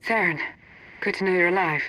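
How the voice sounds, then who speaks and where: An actress playing a cool, resolute intelligence strategist in a video game, composed, precise and formal. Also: female voice, fairly low pitch, a little fast, sharp tone, low-quality recording. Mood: composed urgency. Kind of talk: video game dialogue acting.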